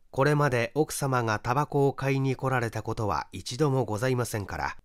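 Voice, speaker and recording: Man playing a deferential, animated old landlord. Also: mid-range voice, no echo, studio quality, close to an everyday voice. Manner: humble, very polite, formal. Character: deferential old male landlord, a shopkeeper witness.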